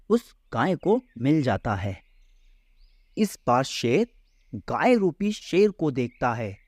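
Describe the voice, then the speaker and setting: Male narrator for kids' moral tales: deliberate, unhurried, expressive, very dry studio-like sound. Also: mid-range voice, breathy edge, dry recording. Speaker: storyteller. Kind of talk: scripted children's story narration.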